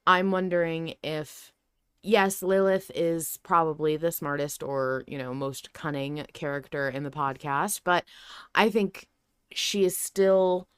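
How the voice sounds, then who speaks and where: A woman's voice: sits low, steady tone, takes it slowly, smooth, no room sound, clean studio sound. A woman hosting a podcast, talking casually and analytically, reflective as she thinks aloud. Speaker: podcast host.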